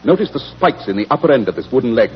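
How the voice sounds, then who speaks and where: A very husky British gentleman detective from 1940s radio. He sounds clever, smug and authoritative, with lively, sweeping intonation, on a dull, muffled old recording. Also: mid-range voice, racing. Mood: commanding. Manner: crisply authoritative, smug, explanatory. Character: Sherlock Holmes, brisk, self-assured, clever English gentleman detective.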